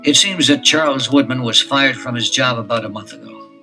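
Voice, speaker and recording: An actor playing a cautious, authoritative detective father who speaks in a measured way. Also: medium pitch, sharp tone, faint music behind. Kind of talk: 1970s children's audio drama.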